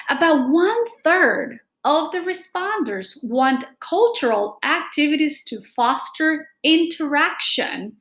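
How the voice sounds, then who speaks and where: A middle-aged woman reading aloud to students as a teacher, explaining deliberately and addressing them directly in a room at home. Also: high-pitched, fairly bright tone, roomy sound. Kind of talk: read-aloud lesson with simple explanations. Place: small room at home, indoors. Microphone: laptop or webcam microphone at desk distance.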